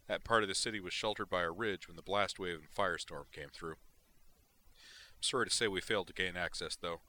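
This is a burly, grizzled veteran Marine sergeant, as a man puts him on, speaking with a wry, blunt military bluntness. The voice sits deep and carries a forceful edge. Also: fairly quick.

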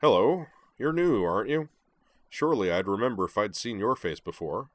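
A man voicing a huge, hearty young man with a touch of an island accent and a deep, rich, resonant voice. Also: brisk, a bit bright, no echo. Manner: hearty, booming, gallant.

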